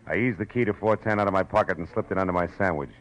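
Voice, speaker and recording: Low-voiced hard-boiled detective played by a man, vintage radio. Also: rapid-fire, close to an everyday voice, low-quality recording. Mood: wry narration. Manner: terse, sardonic, world-weary. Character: hard-boiled, wry male private detective narrating his case. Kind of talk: 1940s radio detective narration and acting. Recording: vintage radio drama with music.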